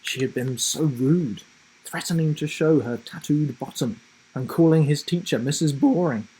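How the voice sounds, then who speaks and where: A man reading a children's story aloud in a dramatic, deliberate narrating style. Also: on the high side, a little mellow, breathy edge, low-quality recording. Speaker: storybook reader, host.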